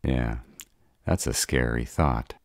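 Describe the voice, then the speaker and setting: A man voicing a gruff, protective, short-tempered were-dragon in an audio roleplay, grumbling and sarcastic in a gravelly voice. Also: takes it slowly, no echo, clean studio sound, heavily acted. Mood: grumbling, weary. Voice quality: deep, gravelly.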